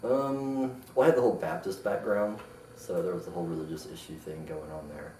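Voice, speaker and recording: A man in his late twenties giving relaxed, offhand interview answers. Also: low-pitched, a little fast. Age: 20s. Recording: roomy, distant mic, background noise.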